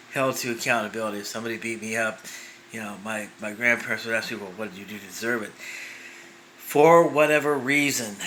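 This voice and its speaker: A man's voice: mid-range voice, pushed and bright, slight background noise, rough recording. A man in his fifties telling a personal story as a vlogger, rambling with many restarts and fillers. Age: 50s.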